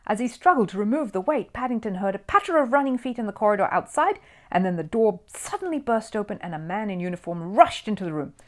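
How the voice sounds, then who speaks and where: Woman reading a storybook with lively intonation. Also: mid-range voice, quick pace. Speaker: audiobook reader. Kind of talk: scripted narration of a children's storybook.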